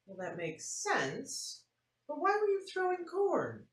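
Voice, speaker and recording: A woman's voice: very high-pitched, huge pitch swings, takes it slowly, pitched above the performer's own voice, heavily acted. A woman voicing a curious, disbelieving mother who questions her child in a picture-book read-aloud. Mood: incredulous. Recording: roomy, faint noise, distant mic.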